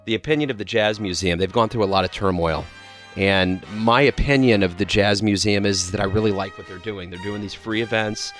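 Radio host, male, low voice, excited and rambling, faint music underneath. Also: fairly quick. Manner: enthusiastic, rambling with restarts. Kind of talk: casual radio chat.

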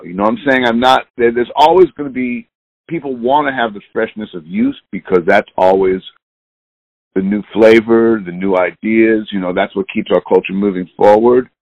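Gravelly sixty-year-old man, lo-fi line. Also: lowish voice, dry recording. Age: 60s. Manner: loose, rambling, candid. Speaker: musician guest. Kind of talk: casual interview chat. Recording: dull lo-fi line.

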